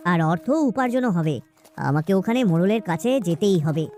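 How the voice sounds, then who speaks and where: Cartoon village husband, played by a woman: poor, scheming, comfort-loving, exclaiming, very expressive pitch. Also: fairly quick, soft background music, close to an everyday voice. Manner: colloquial, exclaiming, grumbling. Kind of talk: cartoon story acting.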